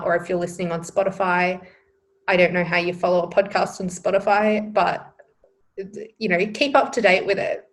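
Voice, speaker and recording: A young Australian woman with an Australian accent, co-hosting a casual podcast chat, chatty and quick to laugh. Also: medium pitch. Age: young adult. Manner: chatty, laughs often, self-deprecating. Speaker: podcast co-host.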